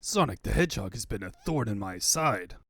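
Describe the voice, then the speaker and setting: A man's voice: lowish voice, sing-song, a little fast, a bit bright, no room sound, pitched below the performer's own voice. A grandiose male mad scientist from a cartoon fandub, acted out by a man. He talks in a theatrical, pompous way and is easily exasperated. The sound is dry and close. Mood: scheming, flustered. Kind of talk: comic book fandub.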